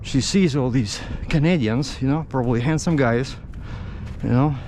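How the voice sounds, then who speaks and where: A middle-aged man from Russia with a Russian accent tells stories casually in English as a non-native speaker, haltingly searching for words. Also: medium pitch, mellow. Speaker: vlogger. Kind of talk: casual rambling storytelling. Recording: outdoor street noise with traffic.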